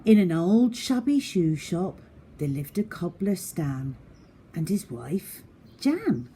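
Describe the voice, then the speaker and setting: A woman's voice: fairly low pitch, sing-song, slow pace, warm tone, slight background noise. A woman reading a children's story aloud, addressing young listeners simply and directly like a primary teacher.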